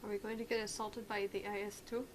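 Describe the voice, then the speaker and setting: A woman's voice: mid-range voice, level tone, fast, a little hiss or hum. A woman co-hosting a gaming show in casual banter, blunt, joking and happy to swear. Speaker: game show co-host.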